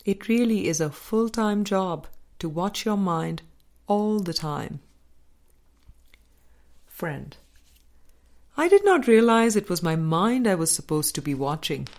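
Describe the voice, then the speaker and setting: A woman reading a spiritual book aloud as a scripted audiobook, in measured, deliberate short phrases. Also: medium pitch, very animated, a little mellow. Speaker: audiobook reader.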